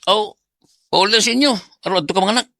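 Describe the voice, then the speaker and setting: An actor with a Visayan Filipino accent playing a dismissive, irresponsible boyfriend in a radio drama. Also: male voice, high voice, fast talker, vocal fry. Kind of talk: Cebuano radio drama acting.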